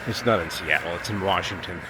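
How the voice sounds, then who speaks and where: A sixty-year-old man talks casually to his travel vlog in a low voice. He rambles a little and pokes fun at himself. Loud ambient noise, something like traffic outdoors, runs behind his words. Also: fast. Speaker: travel vlogger. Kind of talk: casual vlog narration, talking to camera. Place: outdoors by a waterfront.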